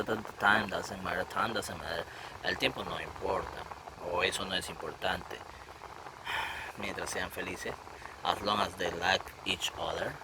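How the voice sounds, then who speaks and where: A man practicing English lines out loud while painting, repeating phrases and translating them to Spanish. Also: low voice. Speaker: language learner drawing while practicing. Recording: noisy home recording.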